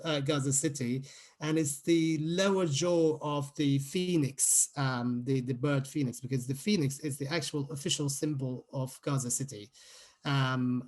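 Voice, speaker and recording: Conversational man explaining, medium pitch. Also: darkish tone. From Palestine. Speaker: radio playwright, guest speaker. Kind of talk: informal talk presentation.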